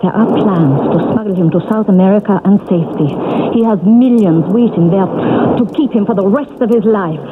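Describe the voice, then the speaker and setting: A woman's voice: mid-range voice, phone-quality sound, lightly acted. Actress as solemn doomed woman on noisy old radio. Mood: urgent, resolute. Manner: theatrical, deliberate, solemn. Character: weary, resolute wartime German mistress secretly plotting against her dictator husband. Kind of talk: radio drama acting.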